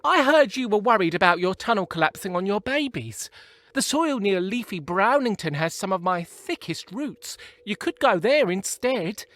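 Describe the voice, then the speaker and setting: A man's voice: sits high, lively intonation, moderate pace, a bit bright, no echo. A man voicing bossy, helpful plant roots that advise matter-of-factly and are easily crossed, in an audio play. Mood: advising.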